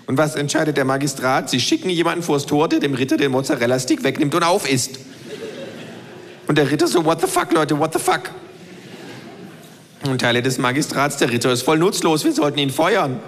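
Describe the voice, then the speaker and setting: A man's voice: a little high, very animated. A man doing a sarcastic ranting kangaroo. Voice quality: sarcastic, fast-talking ranter. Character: cheeky, ranting male kangaroo. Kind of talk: reading aloud. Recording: long hall reverb.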